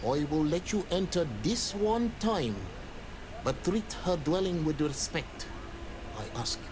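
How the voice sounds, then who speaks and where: A man's voice: fairly high pitch, lively intonation, takes it slowly, background noise. An actor playing a guarded, solemn island native man in a video game, speaking formally and sternly. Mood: solemn warning. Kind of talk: video game character dialogue.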